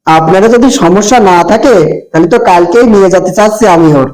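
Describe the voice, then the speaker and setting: A man's voice: sits high, fast talker, darkish tone, natural voice, rough recording. A man voicing a friendly, generous village neighbour in a cartoon, chatty and colloquial, with a rural Bangladeshi accent. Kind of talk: amateur cartoon voice acting.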